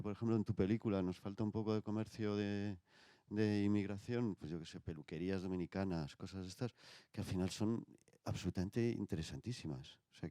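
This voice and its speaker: A reflective man speaks on a round-table panel, thinking out loud in a low voice. His tone is mellow, and the sound is dry and close. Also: no room sound.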